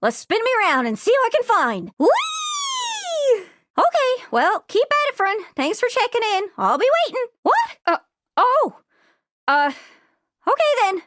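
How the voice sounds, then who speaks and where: An actor, a woman, playing an excitable, chatty little talking globe in a video game, gushing and impatient with folksy turns of phrase. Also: very high voice, measured pace, heavily acted. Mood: eager, bubbly. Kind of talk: animated video game character acting.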